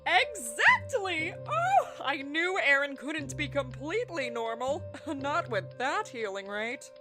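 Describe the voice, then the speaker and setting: A woman voicing an excitable, eccentric scientist in a fan audio drama, speaking in a bubbly, chatty manner. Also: high-pitched, slow pace, heavily acted. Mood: eager. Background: music score.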